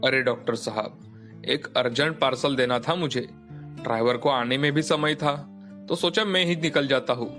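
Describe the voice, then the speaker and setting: A man's voice: mid-range voice, normal speed, fairly bright tone, close to an everyday voice. Guy acting as rich merchant in a moral story, explains things plainly, faint music behind him. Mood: explaining. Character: wealthy male diamond merchant, recovering patient. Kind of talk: dramatized Hindi moral story.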